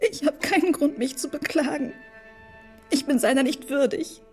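A woman's voice: high voice, moderate pace, darkish tone, close to an everyday voice. An actress playing a meek, humble, heartbroken young woman in a German radio drama. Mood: tearful resignation. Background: music.

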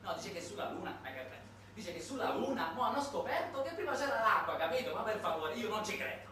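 A male stage actor as an incredulous, pig-headed café regular. He insists emphatically and repeats himself, with very mobile pitch. The sound is distant and strongly echoing, as in a theater monologue. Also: big pitch swings, fast talker, sharp tone, a little hiss or hum, exaggerated voice. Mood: incredulous, insistent. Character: stubborn, skeptical Sicilian café regular, a man. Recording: echoey, distant stage recording with music.

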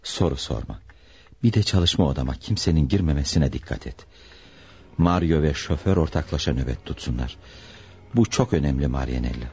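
A man's voice: very deep voice, expressive, brisk, a little mellow, husky, full character voice. An actor playing a stern, secretive wealthy father, middle-aged and commanding, in a Turkish radio play. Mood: grave, urgent. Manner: grave, commanding. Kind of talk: Turkish radio theatre acting.

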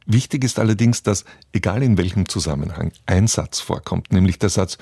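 Low-voiced man presenting on radio. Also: brisk, gravelly, mellow, husky, no room sound, studio quality.

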